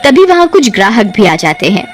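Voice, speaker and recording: Woman telling a cartoon story, highish voice, very animated, music behind. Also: brisk, a little hiss or hum. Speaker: narrator. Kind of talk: dramatic scripted cartoon story narration.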